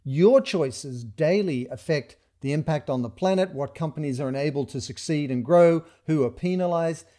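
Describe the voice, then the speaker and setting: A man hosting a video call interview, giving brief agreeing interjections and measured comments. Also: a little high, mellow. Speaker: host. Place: dim room indoors.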